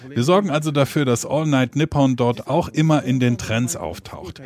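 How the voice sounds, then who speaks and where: A man's voice: mid-range voice, expressive, normal speed, a little mellow. A man reading a scripted voice-over translation of an interview.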